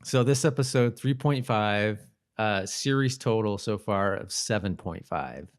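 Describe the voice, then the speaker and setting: A man's voice: fairly low pitch, animated, measured pace. A man co-hosting a podcast in casual chat, speaking loosely and hesitantly, often trailing off. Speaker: podcast co-host. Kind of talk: casual chat about a TV episode.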